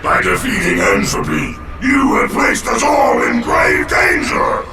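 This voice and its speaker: An actor playing a wrathful evil spirit villain in a video game, bellowing with imperious menace. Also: male voice, very high voice, huge pitch swings, measured pace, crowd noise, full character voice. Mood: furious. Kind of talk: video game cutscene acting. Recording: echoey, distant, loud music behind.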